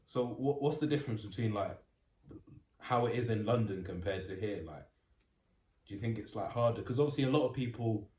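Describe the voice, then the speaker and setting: A man with a somewhat low voice puts long, wandering questions to the guests. He sits back from the mic in a roomy room. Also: lively intonation, brisk, mellow, recorded from a distance, a little hiss or hum. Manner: rambling, probing questions. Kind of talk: casual group chat.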